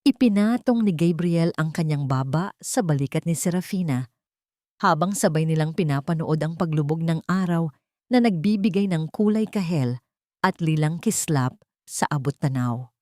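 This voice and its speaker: A woman narrating a scripted love story in a dramatic, measured and solemn storytelling style. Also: sits low, lively intonation, mellow, no room sound, studio quality.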